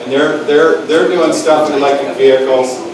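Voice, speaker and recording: A man's voice: mid-range voice, fairly even intonation, normal speed, a crowd in the background. A man moderating a lecture session, chatting casually and upbeat with lots of restarts before introducing the speakers. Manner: rambling, upbeat, full of restarts. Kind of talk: casual, joking lecture-hall chat. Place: lecture hall with audience. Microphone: distant room mic. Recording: echoey hall from far off, with background noise.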